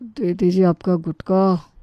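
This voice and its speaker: A woman's voice: on the low side, fairly even intonation, takes it slowly, no echo, muffled recording. A woman voicing an anxious, deferential relative in a Hindi story, with a polite, pleading manner. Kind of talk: Hindi storybook character acting.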